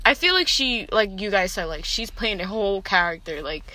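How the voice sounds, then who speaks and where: A woman's voice: medium pitch, animated, moderate pace, pushed and bright, no room sound, rough recording. An eighteen-year-old woman talking slangily and frankly in a casual group chat. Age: teens.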